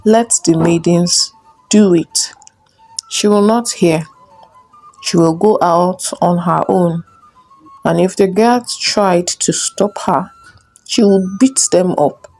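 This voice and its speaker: A woman narrating a folktale in a measured, simple storybook manner. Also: fairly low pitch, a little mellow, poor audio.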